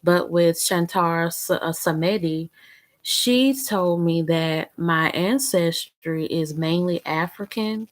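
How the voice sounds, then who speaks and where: A woman's voice: lowish voice, takes it slowly. A young woman vlogger chatting casually to the camera in a rambling, conversational way. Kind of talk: casual chat.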